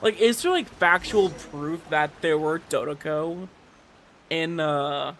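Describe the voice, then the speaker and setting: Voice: mid-range voice, takes it slowly, fairly bright tone, slight background noise. A streamer giving casual, rambling and emphatic commentary while gaming. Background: game music.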